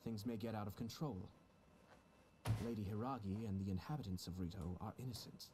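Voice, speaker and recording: An actor voicing a composed, cunning young noble official in a video game, speaking formally and deliberately with veiled menace. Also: male voice, on the low side, background noise, natural voice. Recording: game music behind the voice.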